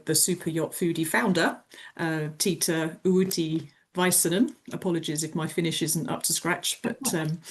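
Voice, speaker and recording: A woman hosting an online webinar, introducing a guest in a polite, slightly hesitant, informal way. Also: lowish voice. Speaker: webinar host.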